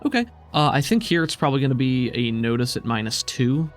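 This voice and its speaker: A man's voice: mid-range voice, big pitch swings, fairly quick, soft background music. A man running a tabletop game, improvising descriptive narration in a conversational way. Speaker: game master.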